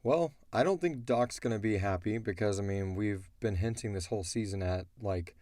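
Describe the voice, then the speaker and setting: Congested man with a low, mellow voice. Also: normal speed, dry recording. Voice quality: congested, stuffy. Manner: low-key, unenthusiastic-sounding. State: congested from a cold. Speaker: host of an audio drama Q&A show. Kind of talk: casual chat answering fan questions.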